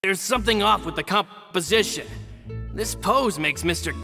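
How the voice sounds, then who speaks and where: A man playing a loyal, plain-spoken and slightly sheepish henchman with a gravelly voice in a video game. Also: sits high, normal speed, bright, forceful tone, exaggerated voice. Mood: doubtful. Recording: reverberant, faint music behind.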